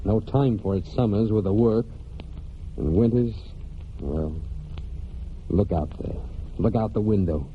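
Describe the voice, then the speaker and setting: An actor as low-voiced, halting farm man, old radio. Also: a little hiss or hum, natural voice. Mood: bitter. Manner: plain rustic speech, halting and reticent. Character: brooding young New England farm man, wounded war veteran. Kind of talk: 1940s American radio drama acting. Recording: muffled 1940s radio broadcast with music.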